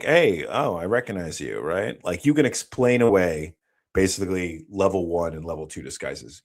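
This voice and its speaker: Low-voiced man from the US, former operator, laid-back storyteller in a live interview. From United States. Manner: slow, explanatory storytelling with frequent self-corrections. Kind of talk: casual podcast interview.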